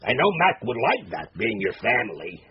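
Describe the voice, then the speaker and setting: An actor playing a smooth-talking, faintly menacing wise guy in a radio drama, with a slangy drawl. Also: on the low side, very animated, normal speed. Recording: dull lo-fi radio with faint noise.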